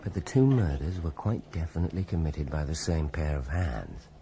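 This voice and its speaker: An actor playing a dogged, formal British police inspector in a radio drama, measured and probing. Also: male voice, a bass voice, animated, mellow, slight background noise. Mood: stern. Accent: British English.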